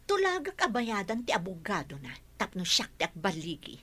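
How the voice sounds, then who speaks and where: An actress with an Ilocano accent playing a greedy, domineering, scheming aunt in a radio drama, with a pushy way of talking. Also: female voice, medium pitch, sing-song, fairly quick, fairly bright tone, breathy edge.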